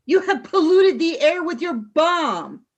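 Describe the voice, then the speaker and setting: Woman acting a slow, ruthless alien warrior leader. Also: very high voice, big pitch swings, lo-fi sound, heavily acted. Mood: terse, indignant. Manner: imperious, clipped commands. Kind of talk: radio play acting.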